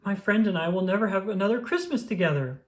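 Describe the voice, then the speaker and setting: A reader voicing a fretful, excitable male toad, an anxious and loyal friend, in a children's storybook. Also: mid-range voice, quick pace, voice pushed up, close to an everyday voice. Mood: worried, panicked. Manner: worried, exclamatory. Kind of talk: children's storybook read-aloud.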